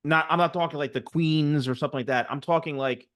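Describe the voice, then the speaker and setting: A man in his forties, hosting an interview and telling stories in a chatty, animated way. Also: a little high, quick pace. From United States. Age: 40s. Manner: chatty, animated storyteller, many fillers. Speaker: host, barber coach. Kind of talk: casual interview chat.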